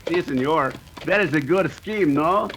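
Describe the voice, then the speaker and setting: An actor playing a cunning, boastful Mexican bandit leader in an old-time radio western, speaking broken English sprinkled with Spanish. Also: male voice, on the high side, unhurried, fairly bright tone, slight background noise, rough recording. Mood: smug.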